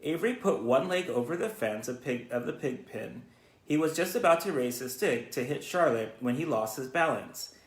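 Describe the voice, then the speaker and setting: A man reads a children's storybook aloud in a roomy, echoing space. His pitch moves in a lively way, and his delivery halts now and then as he repeats words. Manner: halting, stumbles and repeats words. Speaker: school educator reading to students. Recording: roomy, echoey sound.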